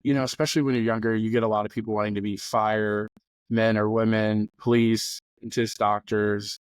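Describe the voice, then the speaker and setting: A man's voice: on the low side, level tone, brisk, clear, resonant tone, dry recording. A young man hosting a casual podcast interview, upbeat and chatty with a joking touch. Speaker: podcast host.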